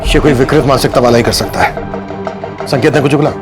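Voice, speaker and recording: A man's voice: medium pitch, close to an everyday voice. An actor playing a stern senior police investigator in a TV drama, a man with an Indian accent who speaks with authority. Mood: stern, businesslike. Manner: brisk, authoritative. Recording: loud background music under dialogue.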